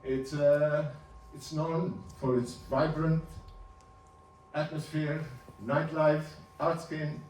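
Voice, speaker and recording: A man in his seventies, a native Ladino speaker from Turkey, giving an informal talk over slides, halting and restarting with small chuckles. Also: fairly high pitch, little pitch movement, a little mellow. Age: 70s. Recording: roomy, distant, faint hum.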